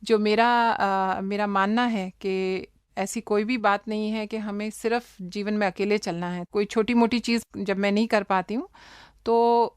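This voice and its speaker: A woman with an Indian accent giving conversational interview answers, measured and hesitant, mixing in English words. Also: medium pitch, steady tone, clean tone, dry recording, clean studio sound. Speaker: interview guest, public relations officer.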